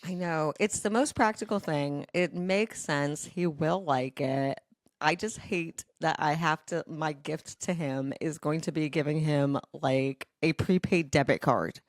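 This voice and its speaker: A woman with a low voice hosts a casual podcast. She talks in an animated, dramatic way and swears freely. The sound is very dry and close. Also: a little slow, no room sound. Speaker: podcast host. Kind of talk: casual chat, storytelling.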